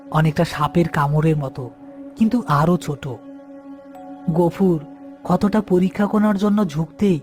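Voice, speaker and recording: A man's voice: high-pitched, mellow. A man narrating a scripted horror story as its storyteller. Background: background music.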